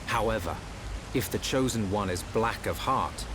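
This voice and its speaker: A man voicing a calm, courtly prince in a video game cutscene, formal and measured. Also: fairly low pitch, background noise, lightly acted. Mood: grave. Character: calm, dignified adult prince counselling his younger sister.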